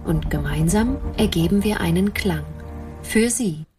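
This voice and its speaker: A woman's voice: fairly low pitch, unhurried, over loud music. A woman hosting a podcast, presenting introductions and interview questions. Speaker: podcast host.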